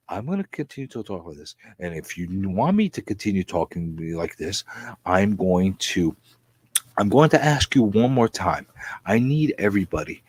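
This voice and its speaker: Male vlogger, low husky voice, comedic rambling rant, theatrical and repetitive. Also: dry recording. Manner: theatrical, repetitive, stammering over phrases. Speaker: host of a comic book video channel.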